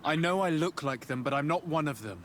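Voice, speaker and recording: A man's voice: a little high, animated, a little fast, a little hiss or hum, lightly acted. An actor playing an earnest, humble young man in a video game cutscene. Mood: nervous.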